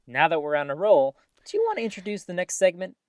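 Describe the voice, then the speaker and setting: A woman's voice: lowish voice, wildly swooping pitch, fast. An actress playing an earnest, cheery woman who hosts a small-town community radio show, with a folksy announcing style. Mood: friendly, patient. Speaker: radio host.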